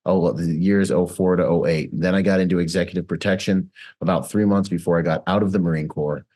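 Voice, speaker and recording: A man in his late thirties giving an energetic, conversational presentation on a video call as host. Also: low voice, fairly quick. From Bahamas, grew up in Washington State. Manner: energetic, conversational, self-promoting.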